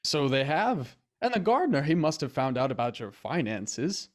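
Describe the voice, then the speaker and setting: An actor playing a dry, world-weary doctor in a period mystery radio play, speaking formally. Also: male voice, fairly high pitch, sing-song, normal speed. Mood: accusing.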